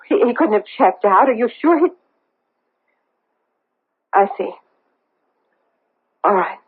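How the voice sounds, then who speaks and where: Anxious wife in husky radio drama. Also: female voice, fairly high pitch. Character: anxious, guarded middle-aged wife hiding a secret. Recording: tinny, band-limited vintage radio recording.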